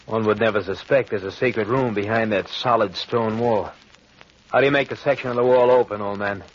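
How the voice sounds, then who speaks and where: A man's voice: fairly low pitch, fairly quick, natural voice. A man playing a cruel, arrogant Nazi agent in a 1940s radio drama, dull lo-fi broadcast sound. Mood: sneering. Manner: sneering, commanding, rising to shouts.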